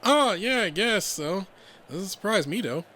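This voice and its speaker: A man voicing a cocky, friendly young male animal fighter in an audio story, with an excitable, slangy, teasing manner. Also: sits high, unhurried, slight background noise, pitched above the performer's own voice, full character voice. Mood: chatty.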